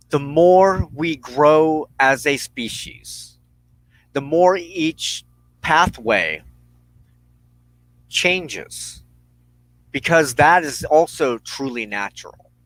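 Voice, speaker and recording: A man hosting a personal spiritual talk, speaking in deliberate, halting phrases with firm emphasis. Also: fairly high pitch, a bit bright, dry recording, low-quality recording. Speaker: host of a weekly witchcraft broadcast.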